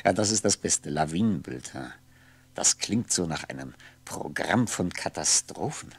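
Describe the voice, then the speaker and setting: An actor playing a lonely man who mutters to himself in a wry, halting way in a 1960s German radio play. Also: deep voice, lively intonation, slightly rough, no echo, full character voice. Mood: musing, hesitant.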